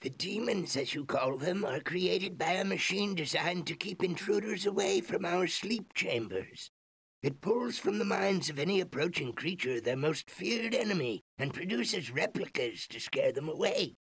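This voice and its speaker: Courteous ancient alien for a computer game; husky, dry close sound, grandly formal and deliberate. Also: male voice, high-pitched, lively intonation, a bit bright, no room sound, heavily acted, rough recording. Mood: solemn, grateful. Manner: formal, theatrical, slow and deliberate. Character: solemn, courteous ancient alien awakened from long sleep.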